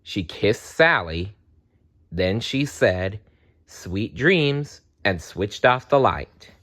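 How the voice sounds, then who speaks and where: A man narrating a children's story aloud in an expressive storytelling style. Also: lowish voice, takes it slowly.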